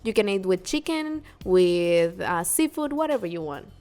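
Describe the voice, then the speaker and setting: A woman from Puerto Rico co-hosting a casual podcast chat, animated and quick to laugh, often restarting her sentences. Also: fairly low pitch, soft background music. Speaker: podcast co-host. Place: New York.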